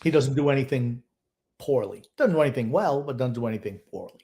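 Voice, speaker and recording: A man's voice: medium pitch, lively intonation, fast, mellow. An older man co-hosting a sports podcast, giving opinionated, sardonic takes in a casual, grumbling way.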